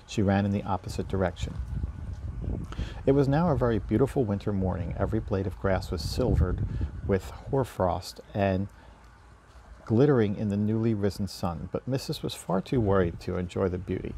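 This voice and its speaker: Male storybook narrator: dark, gravelly tone, light background noise. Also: lowish voice, normal speed. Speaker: story reader.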